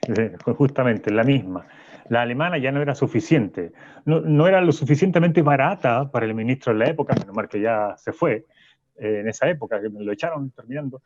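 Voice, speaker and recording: A man's voice: mid-range voice, expressive, a little fast, darkish tone, no room sound, lo-fi sound, natural voice. A man playing a professor guest who stumbles and corrects himself. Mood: explaining. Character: male professor, opinionated guest. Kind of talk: radio drama acting an interview.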